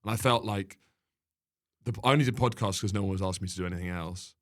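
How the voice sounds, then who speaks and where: A man in casual conversation as a podcast guest and comedian, wry and bantering, quick to laugh. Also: low-pitched.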